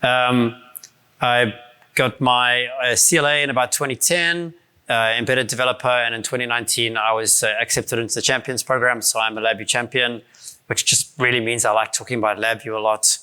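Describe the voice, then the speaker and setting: South african guy in his 40s giving a conference talk, bright voice, lots of ums and restarts, bit hoarse. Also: mid-range voice, steady tone, fairly quick. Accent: South African, softened by years abroad. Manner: casual, many restarts and fillers, self-deprecating. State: voice strained from shouting the night before. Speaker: conference speaker. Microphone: handheld or stand mic.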